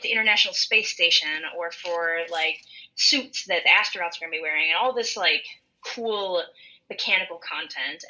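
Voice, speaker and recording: A woman's voice: on the low side, pushed and bright, poor audio. A woman in her twenties with a Minnesotan accent, chatting casually and enthusiastically on a podcast in a rambling way, recorded over an internet call. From rural western Minnesota, USA. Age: 20s. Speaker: podcast co-host.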